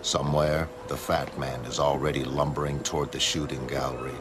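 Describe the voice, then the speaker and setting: Solemn superhuman, extremely deep voice. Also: male voice, flat delivery, background noise. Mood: detached, solemn. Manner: measured, solemn, detached. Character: detached, melancholy blue superhuman man narrating his life. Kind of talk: scripted first-person comic narration. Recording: music bed under the voice.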